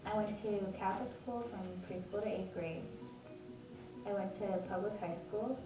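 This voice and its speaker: A young American woman, a college student, reading a scripted personal narration in an even, read-aloud way. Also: medium pitch, normal speed, far from the mic. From United States. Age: young adult. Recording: echoey audio heard from afar over music.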